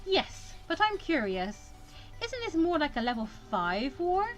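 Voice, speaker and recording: A woman voicing an indignant, sharp-tongued fourteen-year-old student girl in a visual novel, sarcastic and exclamatory. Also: high-pitched, very animated, unhurried, soft background music, voice pushed up. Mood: curious.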